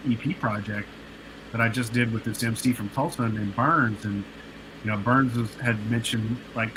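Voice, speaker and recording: A man's voice: medium pitch, fairly bright tone, slight background noise, low-quality recording. Laid-back man in his forties, rambling. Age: 40s. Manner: laid-back, rambling, lots of fillers and restarts. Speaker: guest, producer and artist. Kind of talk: casual podcast interview storytelling.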